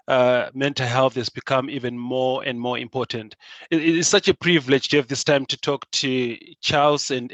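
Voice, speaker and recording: A man's voice: mid-range voice, a bit bright, dry recording. A man moderating a panel, polite with hesitant fillers, over a video call.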